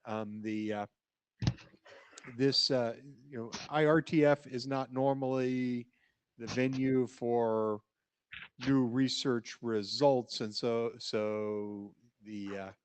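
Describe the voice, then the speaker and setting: Male session chair, mid voice, halting restarts, trails off, dry close sound. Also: medium pitch, steady tone, clear, resonant tone, no room sound. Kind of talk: chairing a meeting discussion.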